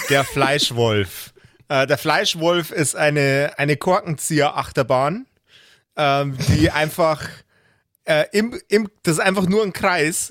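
A man in a casual podcast chat, talking hesitantly with a wry touch and drawn-out pauses. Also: a little high, a bit bright, dry recording, clean studio sound. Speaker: podcast regular.

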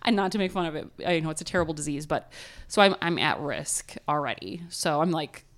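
A woman's voice: deep voice, lively intonation, brisk. A woman in her thirties with a nasal voice, telling stories in a casual podcast chat and laughing often. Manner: chatty, laughing storyteller. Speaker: podcast co-host.

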